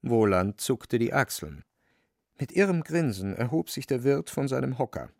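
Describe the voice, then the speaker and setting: Male narrator for literary radio drama: gravelly, mid-pitched, close dry studio sound. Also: big pitch swings, moderate pace, a little mellow, no echo.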